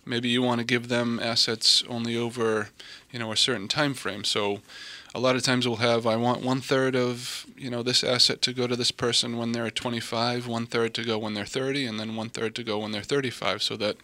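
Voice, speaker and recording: Even-toned American man, bright dry voice. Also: medium pitch, a little fast, hardly any pauses, no room sound. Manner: informal, matter-of-fact. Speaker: estate planning lawyer, interview guest. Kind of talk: conversational expert explanation.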